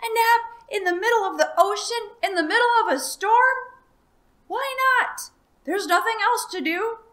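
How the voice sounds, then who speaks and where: A woman voicing a brave, cheerful little boy who talks earnestly and gets excited. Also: very high voice, normal speed, pitched above the performer's own voice.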